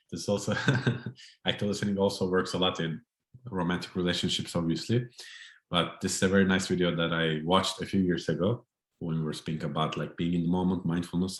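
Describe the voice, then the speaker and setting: A low-voiced man runs a training session over a video call. He explains in a friendly way, his voice echoing in a roomy space. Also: fairly even intonation, brisk. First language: Turkish. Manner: friendly, explanatory, light laughter. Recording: roomy echo.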